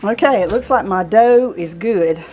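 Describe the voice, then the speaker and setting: A woman's voice: medium pitch, unhurried, darkish tone, muffled recording, faint music behind. A woman in her sixties with a Southern US accent, giving an informal, folksy cooking demonstration as she works in a home kitchen. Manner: folksy, explaining step by step while working. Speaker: home cooking show host. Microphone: clip-on lapel mic.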